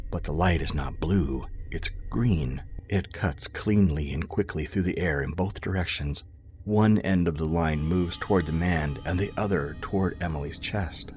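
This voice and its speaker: A man's voice: deep voice, a little mellow, natural voice. A man narrating scripted audio fiction in a dramatic storytelling style. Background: music.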